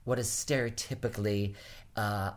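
A woman from Rhode Island, talking politics in a blunt, animated way and swearing freely. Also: very deep voice, normal speed. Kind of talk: casual political chat.

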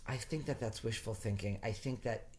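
A man's voice: lowish voice, normal speed, a little mellow, slight background noise. A man from Rhode Island in casual conversation, making his points emphatically and often repeating phrases as he builds an argument.

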